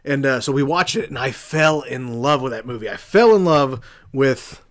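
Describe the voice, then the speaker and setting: A man's voice: mid-range voice, expressive, normal speed. A man from southeastern Indiana with an American accent hosts a podcast, telling stories casually in a rambling way and often backtracking as he goes. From southeastern Indiana, United States. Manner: rambling, backtracking, loses his thread. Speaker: podcast host. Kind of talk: casual storytelling on a horror podcast.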